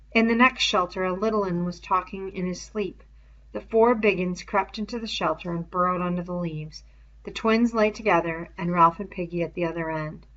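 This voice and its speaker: Bright-voiced woman reading a novel aloud. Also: lowish voice, dull audio. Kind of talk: expressive literary read-aloud narration.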